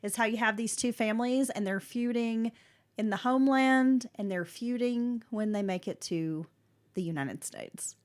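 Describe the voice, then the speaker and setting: A woman's voice: a little high, normal speed. A woman, co-hosting a podcast and telling a history story in a casual way, with fillers and restarts. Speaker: podcast co-host. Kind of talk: casual chat and story narration.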